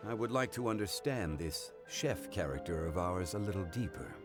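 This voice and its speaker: An actor playing a wise, courteous gentleman in a game, speaking formally and with measured calm. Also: low-pitched. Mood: thoughtful. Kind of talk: dramatic game voice acting. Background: music.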